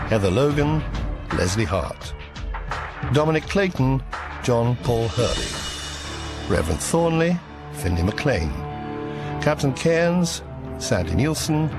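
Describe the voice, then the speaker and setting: A man announcing in a scripted, formal radio credits style. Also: medium pitch. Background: music.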